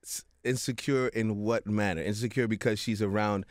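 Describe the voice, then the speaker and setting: A middle-aged man hosts a radio-studio interview, asking relaxed, teasing questions. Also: lowish voice, moderate pace, dry recording. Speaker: interview host. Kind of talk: casual interview. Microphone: studio mic on boom arm.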